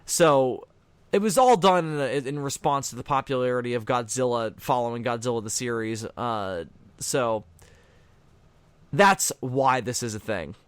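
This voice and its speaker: A man's voice: mid-range voice, unhurried, clean tone, no room sound. A man hosting a casual fan commentary on a cartoon, explaining things in a matter-of-fact way. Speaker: commentary host.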